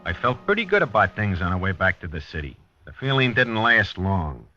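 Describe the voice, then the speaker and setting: A man's voice: low voice, big pitch swings, brisk, pushed and bright, soft background music, full character voice. An actor playing a dogged American police detective, narrating his case in a terse, wry, hard-boiled 1950s radio style. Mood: grim, methodical. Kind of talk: 1950s radio drama acting, noir narration. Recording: dull vintage 1950s radio recording.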